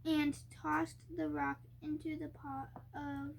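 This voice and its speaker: A young girl reading a storybook aloud haltingly, sounding out and stumbling over harder words. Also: high-pitched, level tone, creaky, slight background noise, low-quality recording. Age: child. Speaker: young reader co-hosting a family reading video.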